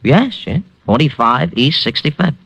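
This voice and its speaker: An actor playing a nervous, slick male gossip columnist making quick, defensive protests in an old radio drama. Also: a little high, sing-song, vocal fry, a bit bright, dry recording, lo-fi sound, heavily acted. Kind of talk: 1950s radio drama acting.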